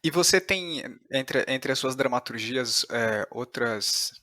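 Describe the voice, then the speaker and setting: A man with a Brazilian accent and a bright, forward voice at a middle pitch. He works as a podcast interviewer, putting questions in a casual, conversational way. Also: expressive, slow pace.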